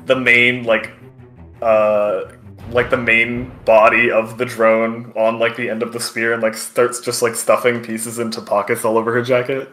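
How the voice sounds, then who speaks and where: A man running a tabletop game as game master, improvising narration in a halting, hesitant way. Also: medium pitch, level tone, fairly bright tone, reverberant, soft background music.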